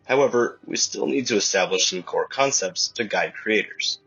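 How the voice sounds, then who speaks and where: Husky low man, dull lo-fi recording. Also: normal speed, a bit bright, soft background music. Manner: explanatory, plain-spoken. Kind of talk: scripted announcement reading.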